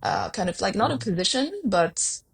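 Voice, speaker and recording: A woman's voice: mid-range voice, wildly swooping pitch, normal speed, creaky, husky. A young woman from Vietnam, a consultant, talking casually in an interview guest seat, chatty, with many fillers and self-corrections.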